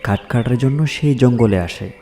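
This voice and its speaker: A man's voice: medium pitch, normal speed, dark tone, soft background music. A man narrating a scripted fairy tale for children as a storyteller.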